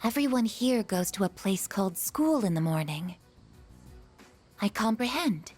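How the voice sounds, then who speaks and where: A woman's voice: medium pitch, expressive, a little slow, a little mellow, soft background music, natural voice. A woman voicing an obedient, literal-minded android girl in a video game, with formal, precise and stilted phrasing. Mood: calm, dutiful.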